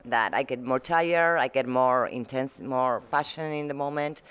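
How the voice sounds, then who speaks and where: A middle-aged woman from Argentina, speaking English as an in a halting, searching way as an interview guest. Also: a bass voice, a bit bright, smooth. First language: Spanish. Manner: halting, searching for words, frequent restarts. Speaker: muralist guest. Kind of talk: casual interview conversation.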